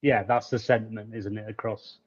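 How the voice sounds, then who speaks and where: A man's voice: fairly low pitch, level tone, a little fast. Man on a slightly dull mic. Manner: corrective, fact-checking interjections. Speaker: podcast panelist. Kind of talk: casual panel chat.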